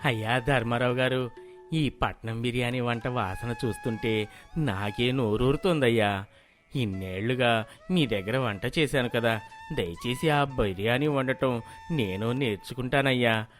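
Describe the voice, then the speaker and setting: A man's voice: medium pitch, unhurried, natural voice. A man playing a humble, pleading poor village cook in a story. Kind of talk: dramatised storybook dialogue. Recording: music behind the voice.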